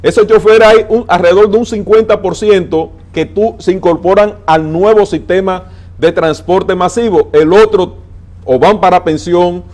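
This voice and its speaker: A man with a Dominican accent, a transport adviser on a TV interview, laying out plans with numbers and slowing down to stress his points. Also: high voice, steady tone, a little mellow. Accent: Dominican Spanish. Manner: emphatic, explains with figures, slows down to stress points. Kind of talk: interview on a TV talk show.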